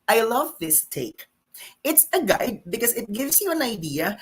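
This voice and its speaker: Husky-voiced woman hosting a live session. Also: a little high, a little slow. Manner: animated, emphatic teacherly delivery. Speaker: host of a live exam-prep session. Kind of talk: live stream Q&A reading viewer comments.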